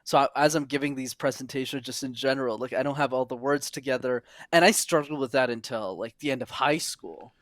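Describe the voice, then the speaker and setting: A man's voice: mid-range voice, a little fast, few pauses, a bit bright, no room sound. Young man telling stories, dry sound. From Montreal, Canada. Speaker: podcast guest. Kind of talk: casual interview.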